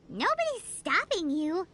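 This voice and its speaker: Tiny nosy game fairy: childlike, chirpy, sky-high and wildly animated. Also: female voice, exaggerated voice. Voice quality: squeaky, childlike. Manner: chirpy, talks of things eagerly. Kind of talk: anime video game voice acting. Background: game music.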